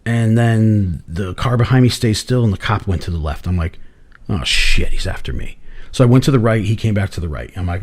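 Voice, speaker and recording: A man co-hosting a podcast, telling rambling stories in a hoarse voice, swearing freely and laughing often. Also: medium pitch. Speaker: podcast co-host. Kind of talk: casual chat.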